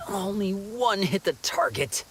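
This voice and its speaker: A man's voice: high-pitched, expressive, unhurried, background noise. A man voicing an earnest, hesitant young archer trainee in a video game. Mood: discouraged. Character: discouraged, eager young male resistance archer trainee. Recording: music behind the voice.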